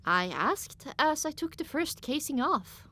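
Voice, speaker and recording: A woman reads first-person fiction as an audiobook narrator. Her voice is fairly high and moves widely in pitch, giving the scripted prose a dramatic, expressive shape. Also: a little slow, no echo.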